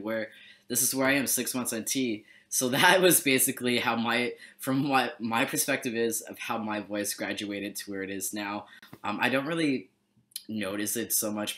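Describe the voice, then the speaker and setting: A man's voice: mid-range voice, pushed and bright. A young man from Canada chatting casually and jokingly on a webcam in a small bedroom, laid-back and mumbling at times. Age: young adult. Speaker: vlogger. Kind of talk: casual chat.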